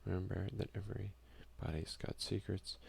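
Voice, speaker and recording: A man doing a soft-spoken, unhurried ASMR game playthrough, reading text aloud with casual asides. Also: low voice, steady tone, creaky, a little hiss or hum. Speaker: let's play streamer.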